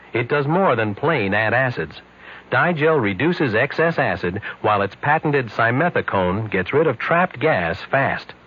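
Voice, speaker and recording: Husky male radio commercial announcer. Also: lowish voice. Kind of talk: scripted radio advertisement. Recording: dull old radio recording.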